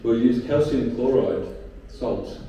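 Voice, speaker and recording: A man speaks very slowly and deliberately, pausing with fillers as he pitches. His voice is low and very dark. He is far from the mic in a very echoey room with faint noise. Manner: slow, deliberate, halting with fillers. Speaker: startup founder pitching. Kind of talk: startup pitch. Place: event venue.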